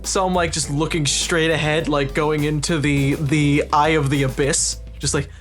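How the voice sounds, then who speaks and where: A man's voice: on the high side, little pitch movement, normal speed, soft background music. A young man casually telling profane anecdotes to friends, acting out the dialogue as he goes. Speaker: podcast storyteller.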